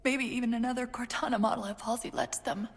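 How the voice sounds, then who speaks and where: A woman playing a failing artificial intelligence in a video game, tender and fatalistic, speaking in a hushed, halting, breathy way. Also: fairly high pitch, fairly even intonation, in a large hall, slight background noise, natural voice. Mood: sorrowful, resigned. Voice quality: breathy, husky. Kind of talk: video game cutscene acting.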